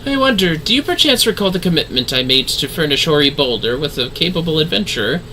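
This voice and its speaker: A woman voicing a composed, gracious female leader in a fantasy game, speaking in formal, archaic, measured phrases. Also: mid-range voice, big pitch swings, bright, forceful tone, slight background noise, voice pushed down, rough recording. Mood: gracious, apologetic.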